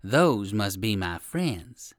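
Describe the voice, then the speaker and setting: A wicked owl from a children's storybook, as a storyteller plays it, sly and sarcastic. The menace comes slowly, in drawn-out phrases pitched a little low, on a dry recording. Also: big pitch swings, no echo, full character voice. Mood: brusque, expectant.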